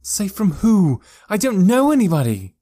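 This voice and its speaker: A defiant teenage girl, voiced by a male narrator, pitched high and quick to anger. She sounds wounded and demanding, in storybook dialogue recorded close and dry. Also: lively intonation, dark tone, no room sound. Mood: angry, betrayed. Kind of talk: audiobook character dialogue.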